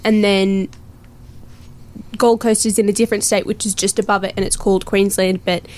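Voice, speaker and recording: A young teenage girl with an Australian accent talks casually as a guest in an interview. Her voice sits at a middling female pitch, and she speaks conversationally. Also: level tone, moderate pace, darkish tone. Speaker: young actor and filmmaker guest. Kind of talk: casual chat.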